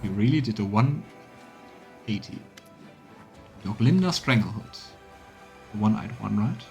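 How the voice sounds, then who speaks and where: A man's voice: lowish voice, animated, a little slow, gravelly, darkish tone. A man giving casual gaming commentary as a let's play streamer. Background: game music.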